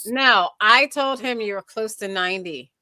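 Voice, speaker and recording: A woman's voice: medium pitch, normal speed, bright, forceful tone, dry recording. An American woman hosting a live talk show, firmly moderating her panel.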